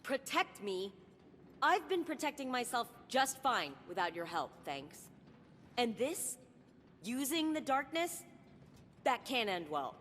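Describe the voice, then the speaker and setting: A wounded defiant young woman in a game cutscene, slow pointed delivery, big reverb. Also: on the high side, sing-song, fairly bright tone, slight background noise, exaggerated voice. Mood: defiant, alarmed. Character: hurt, defiant younger woman, estranged and distrustful. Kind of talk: dramatic scripted game cutscene acting. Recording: long reverberant hall, music behind.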